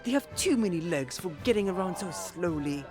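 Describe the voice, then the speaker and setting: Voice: mid-range voice, animated, brisk, over music, natural voice. An actor playing a polite, eager alien host with formal, precise phrasing in an audio drama. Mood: polite, earnest.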